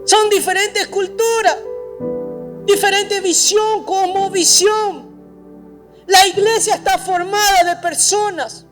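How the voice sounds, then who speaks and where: High-voiced man preaching with emphatic repetition. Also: fairly even intonation, takes it slowly, fairly bright tone, soft background music. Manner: addresses the congregation directly. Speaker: pastor. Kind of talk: sermon. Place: church.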